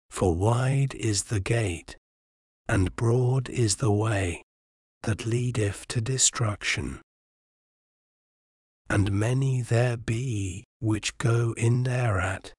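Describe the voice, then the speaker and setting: Slow, soothing male Bible reader. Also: lowish voice, a little mellow, no echo, studio quality. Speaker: scripture reader. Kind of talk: slow bedtime Bible reading.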